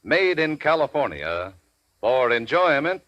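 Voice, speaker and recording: Lively 1940s American radio ad man. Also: mid-range voice, measured pace. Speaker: radio announcer. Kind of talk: scripted 1940s radio advertising. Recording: slightly dull vintage radio recording.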